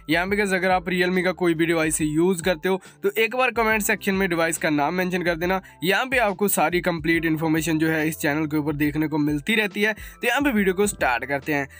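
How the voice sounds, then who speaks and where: A man's voice: high-pitched, a bit bright. A man hosting a tech update voice-over, addressing viewers informally and mixing in English terms. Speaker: tech channel host. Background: background music.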